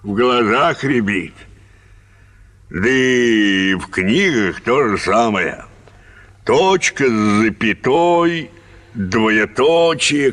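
A man's voice: medium pitch, fairly bright tone, heavily acted. An actor in a radio play voicing a grumbling, opinionated provincial man who rants with indignant emphasis. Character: grumbling, opinionated provincial man railing against punctuation and spelling. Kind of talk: theatrical radio play acting of a classic short story.

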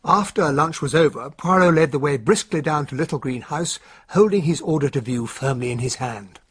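An actor playing a genteel English gentleman, a detective's companion, narrating a radio drama in a well-spoken, measured way. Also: a little high, slight background noise, lightly acted. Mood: genial, wry.

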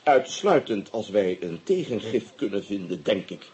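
An actor playing a guarded, reluctant doctor in a radio play, formally polite, hesitant and evasive. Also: male voice, on the low side, sing-song, normal speed, a little mellow, slightly rough, full character voice. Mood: grave. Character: guarded, reluctant doctor hiding something from the police. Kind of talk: Dutch radio drama acting. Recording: faintly noisy old radio recording.

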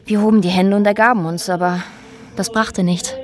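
An actress in a German radio drama playing a former activist who soberly recounts a brutal ordeal in measured, thoughtful phrases. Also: female voice, mid-range voice, fairly even intonation, close to an everyday voice. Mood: sober, matter-of-fact.

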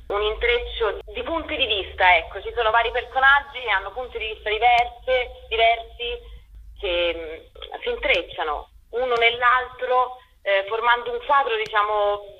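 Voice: medium pitch, muffled recording. A young theatre director answering interview questions hesitantly, searching for words as they go.

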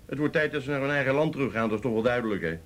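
A man acting in a radio play as a bigoted, belligerent younger brother, speaking in a halting, goading way. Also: mid-range voice, a bit bright, close to an everyday voice. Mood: heated, bitter. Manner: halting, goading, full of tag questions. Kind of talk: naturalistic Dutch radio play dialogue.

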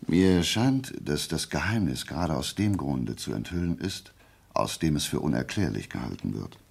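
Very deep, gravelly methodical detective. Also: male voice, very animated, exaggerated voice. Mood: analytical, assured. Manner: deliberate, pedantic, musing with emphatic pauses. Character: brilliant, self-assured, methodical male detective. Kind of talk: German radio play acting.

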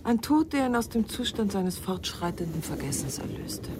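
An actress in a German radio drama playing a composed, probing middle-aged wife with a measured, pointed way of talking. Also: female voice, medium pitch, sing-song, noisy background, natural voice. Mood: composed, somber.

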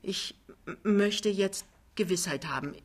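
A woman's voice: medium pitch, unhurried, breathy edge. Woman reading as a timid, anxious young husband. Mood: anxious, hesitant. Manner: hesitant, earnest, subdued. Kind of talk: dramatized literary reading.

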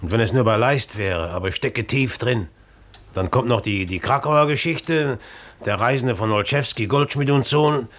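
An actor playing a debt-ridden, secretive and irritable village innkeeper in a 1950s German radio drama, agitated and repeating himself. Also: male voice, mid-range voice, moderate pace, natural voice. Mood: troubled, defensive. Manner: agitated, repeating words, scolding and hushing. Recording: noisy 1950s mono radio play recording.

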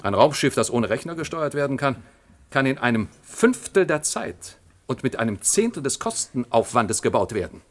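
An actor playing a smug, scheming middle-aged general in a German science-fiction radio drama, with an oratorical, condescending manner. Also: male voice, medium pitch, sing-song, moderate pace, close to an everyday voice. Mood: smug, calculating.

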